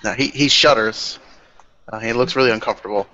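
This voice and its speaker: Guy explaining his rpg character, casual, some vocal fry, muffled lo-fi audio. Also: medium pitch, brisk, pushed and bright. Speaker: tabletop roleplay player. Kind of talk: casual improvised game narration.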